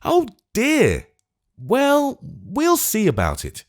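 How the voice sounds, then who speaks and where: A man's voice: very high-pitched, wildly swooping pitch, drawn-out words, pitched above the performer's own voice, heavily acted. A man voicing a testy, fussy, self-important Lord Mayor. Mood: testy, fretful. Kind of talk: audiobook character voice.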